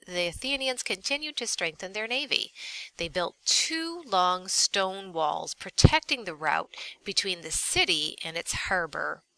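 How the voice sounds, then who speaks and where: A woman reading a textbook aloud as a teacher, in a deliberate, expressive, instructional manner. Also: lowish voice, no echo, low-quality recording. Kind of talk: read-aloud lesson narration.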